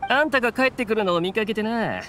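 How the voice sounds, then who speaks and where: A man's voice: high voice, quick pace, a bit bright, a little hiss or hum. A man playing a good-natured, chatty young male mercenary in an anime game, boyish and easily flustered. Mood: friendly. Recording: faint music behind the voice.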